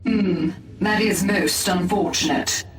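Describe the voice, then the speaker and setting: A woman's voice: fairly low pitch, slow pace. An actress playing a disdainful female elf warrior in a video game, speaking in a clipped, imperious, formal way. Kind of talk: scripted video game acting. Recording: echoey, distant, music behind.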